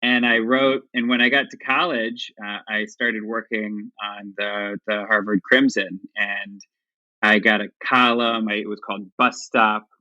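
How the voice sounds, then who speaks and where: A man's voice: lowish voice, little pitch movement, measured pace, bright, forceful tone, no echo, lo-fi sound. A man in his forties from Dallas, Texas, talking as a company founder in a casual interview, often restarting and repeating words.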